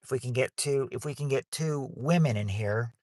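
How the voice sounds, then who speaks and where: A man's voice: medium pitch, normal speed, darkish tone, dry recording, studio quality. A man hosting a livestreamed game night, chatting loosely and often restarting his sentences. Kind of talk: casual chat while hosting a game.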